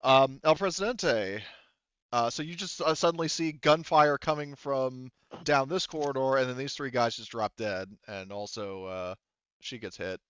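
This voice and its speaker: A man's voice: medium pitch, moderate pace, a bit bright, smooth, dry recording, muffled recording. A man acting as game master, narrating the action and working through rules in an informal, exclamatory way. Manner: informal, exclamatory, swears freely. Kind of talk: casual tabletop game narration and rules adjudication.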